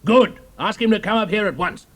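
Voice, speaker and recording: An actor playing a gruff, commanding British army general in an audio drama, with clipped, authoritative, excitable delivery. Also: male voice, high-pitched, a little fast. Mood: brisk.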